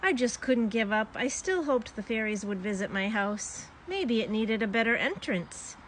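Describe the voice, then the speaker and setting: A woman's voice: on the high side, expressive, moderate pace, rough recording. Woman reading a children's story, background noise. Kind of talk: expressive read-aloud of a children's story.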